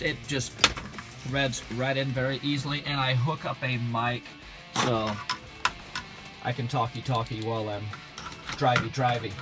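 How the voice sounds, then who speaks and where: A man hosting an informal instructional video, speaking emphatically with playful turns of phrase. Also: mid-range voice. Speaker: host of a gear and vehicle channel.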